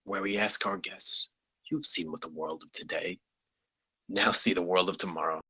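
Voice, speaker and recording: Grand persuasive pitchman, played by a man: very low but very bright, forceful voice, presentational, for a script read. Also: steady tone, normal speed, husky, dry recording, heavily acted, low-quality recording. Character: grand, persuasive male pitchman unveiling a park. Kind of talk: screenplay table read.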